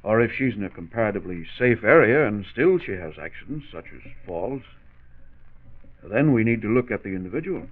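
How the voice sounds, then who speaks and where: An actor playing a calm, reassuring small-town doctor, explaining carefully in a 1940s radio drama. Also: male voice, fairly low pitch, a little fast. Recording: tinny narrow 1940s radio recording.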